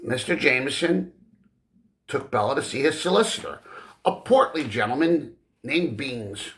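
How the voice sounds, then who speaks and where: A man reading literary fiction as an audiobook narrator, with deliberate, dramatic phrasing and frequent pauses. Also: medium pitch, expressive, fairly bright tone. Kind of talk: expressive scripted literary narration.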